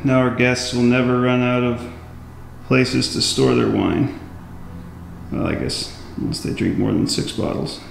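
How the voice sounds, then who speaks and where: A man's voice: medium pitch, fairly even intonation, measured pace, gravelly, noisy background. Echoey, casual handyman vlogger. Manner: informal, hands-on explaining while working. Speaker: DIY renovation vlogger. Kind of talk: casual how-to vlog narration. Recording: echoey room, music underneath.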